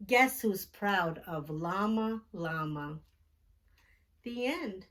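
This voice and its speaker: A woman hosting storytime as a teacher, reading a picture book aloud to young children with deliberate, expressive phrasing. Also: mid-range voice.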